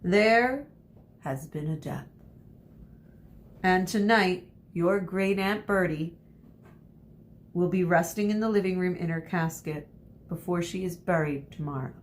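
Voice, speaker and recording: A woman reading the part of a genial, measured fisherman uncle in a storybook. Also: lightly acted. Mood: gentle, grave. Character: genial Danish fisherman uncle, teasing yet grave. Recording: noisy with low rumble.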